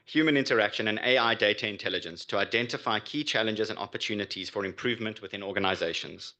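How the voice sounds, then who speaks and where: Bright-voiced man pitching. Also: quick pace. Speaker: startup founder giving a pitch. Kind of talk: prepared business pitch presentation.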